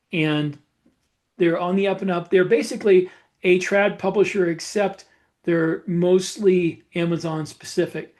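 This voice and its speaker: A man's voice: high voice, a little mellow. A man co-hosting a podcast, explaining things casually in a blunt, opinionated way. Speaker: podcast co-host.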